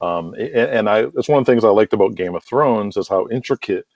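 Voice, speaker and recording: A man's voice: fairly low pitch, lively intonation, fairly quick, a little mellow. A man being interviewed casually, explaining his work at length in a rambling, conversational way full of filler phrases. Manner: rambling, explains at length with frequent "you know" and "like". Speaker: interview guest, writer of a wrestling audio drama. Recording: dull lo-fi call audio, roomy echo.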